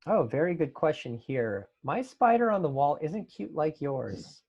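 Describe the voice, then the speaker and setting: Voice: medium pitch, very animated, unhurried, husky, highs cut off. Casual Q&A host, mid-range voice, enthusiastic, reads audience questions and comments on them. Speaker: host reading out fan questions. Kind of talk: casual panel Q&A.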